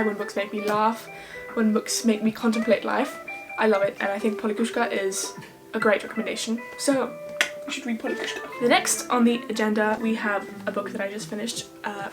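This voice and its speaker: A young woman from Ireland with an Irish accent that drifts toward English, chatting casually and recommending books as a vlogger, with quick asides and self-deprecating jokes. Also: mid-range voice, low-quality recording. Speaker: book vlogger. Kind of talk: casual book recommendations to camera. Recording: music playing underneath.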